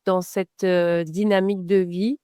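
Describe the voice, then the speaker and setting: A woman's voice: fairly low pitch, little pitch movement, measured pace, dark tone, clean tone, no room sound, studio quality. A woman, a women's health coach answering interview questions casually and hesitantly, with many fillers. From Belgium.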